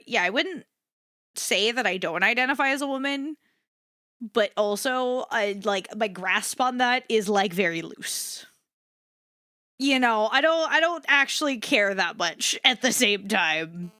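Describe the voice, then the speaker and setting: A woman livestreamer chatting casually and candidly to her viewers, swearing freely and repeating herself for emphasis, in a versatile but slightly rough voice. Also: expressive, measured pace, pushed and bright, no echo, clean studio sound. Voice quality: versatile voice, swinging between low and high. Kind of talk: casual rambling chat to an audience.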